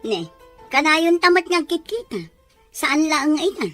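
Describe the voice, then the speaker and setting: A woman plays a mean stepmother in a radio-style drama. Her voice is high and piercing, with big swings in pitch as she scolds and accuses. Music plays behind her. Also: big pitch swings, a little slow, exaggerated voice. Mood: irritated. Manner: sharp, accusing questioning. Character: harsh, scolding stepmother aunt, mean and quick-tempered. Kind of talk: Ilocano audio drama acting.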